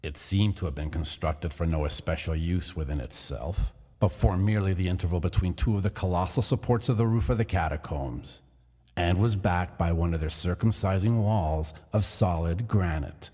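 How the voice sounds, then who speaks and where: A man narrating a gothic horror tale in a measured, theatrical reading. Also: low voice, mellow, husky. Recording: long hall reverb.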